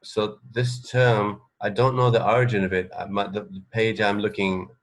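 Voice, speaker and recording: A man with a lowish voice co-hosts an informal radio show. He speaks conversationally and a bit haltingly, working out his thoughts aloud. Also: level tone. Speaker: radio co-host. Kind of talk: casual radio chat.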